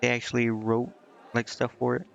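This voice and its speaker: A man's voice: mid-range voice, monotone, moderate pace, creaky, a faint crowd. A man doing casual live game commentary, informally thinking aloud and reading dialogue out as he goes. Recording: dull, band-limited sound with music. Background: game music.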